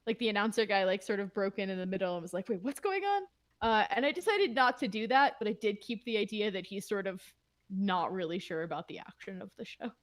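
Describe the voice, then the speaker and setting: A woman's voice: medium pitch, quick pace. A woman who writes the show, chatting casually in a Q&A with many fillers and restarts. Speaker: podcast writer. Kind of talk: casual Q&A chat.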